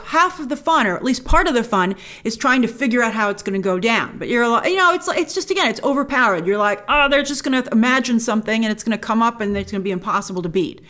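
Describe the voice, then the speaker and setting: A woman with a fairly high voice delivers an opinionated review. Her pitch swings widely as she talks. She is emphatic and informal, speaking as a show host in a conversational style. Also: a bit bright.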